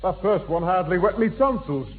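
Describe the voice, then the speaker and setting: A genial Northern English drinker with a high voice and comically grand wording. It is vintage radio comedy, narrow in sound with faint noise. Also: male voice, animated, normal speed. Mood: contented. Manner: jolly, pompous malapropisms. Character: genial beer-loving pub regular who mangles long words. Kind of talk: 1960s British radio sitcom acting. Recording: thin, noisy old radio broadcast.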